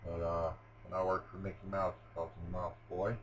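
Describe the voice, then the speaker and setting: Low-voiced man chatting while building. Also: flat delivery, brisk, slight background noise. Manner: rambling, self-deprecating, many fillers and restarts. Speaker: hobbyist building a Lego set on video. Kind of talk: casual running commentary while building. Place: at home, on a table, with a cat nearby.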